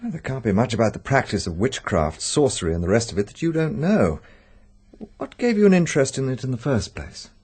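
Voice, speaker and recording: A man's voice: mid-range voice, very animated, brisk, a little mellow, husky. A British actor playing a sceptical, guarded man who asks probing questions in a radio drama. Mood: curious. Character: probing, sceptical man drawn to dark secrets.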